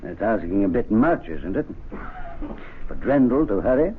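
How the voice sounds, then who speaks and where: An actor playing a dry, testy, skeptical gentleman in a radio drama, sardonic and impatient. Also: on the low side, big pitch swings, normal speed. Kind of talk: old-time radio drama acting. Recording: tinny, slightly noisy old radio broadcast.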